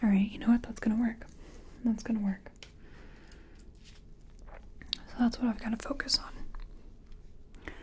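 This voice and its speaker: A woman doing soft-spoken ASMR roleplay as a makeup competition contestant, half-whispering in a hesitant, chatty, self-effacing way. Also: a little high, level tone, measured pace, long pauses.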